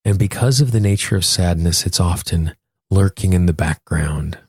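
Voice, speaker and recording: Male self-help coach and host, lower register, conversational and instructive, dry recording. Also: moderate pace, darkish tone, slightly rough, dry recording. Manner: explanatory, instructing the listener directly. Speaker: podcast host and tapping coach. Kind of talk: conversational self-help talk and guided tapping exercise.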